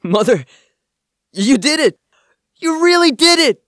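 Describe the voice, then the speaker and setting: A man playing a bookish young misfit and loyal son in an audio drama, earnest and expressive. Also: very high voice, takes it slowly, no room sound, studio quality, full character voice. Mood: excited, affectionate. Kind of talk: amateur audio drama acting.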